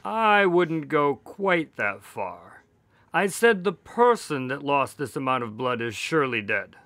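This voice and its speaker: A man's voice: a little high, expressive, unhurried, fairly bright tone, no room sound. An actor playing an earnest, uneasy male doctor, the questioning and slightly fussy sidekick in a radio detective drama. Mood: thoughtful.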